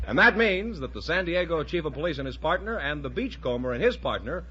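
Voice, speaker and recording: A man's voice: fairly high pitch, expressive, brisk, sharp tone. A man making announcements as the announcer of a vintage radio quiz show. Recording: dull, faintly noisy old radio recording.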